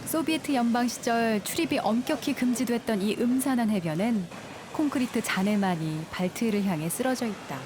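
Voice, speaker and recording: Calm woman narrating a travel documentary. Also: a little high, background noise. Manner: calm, measured storytelling. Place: studio voice-over. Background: music.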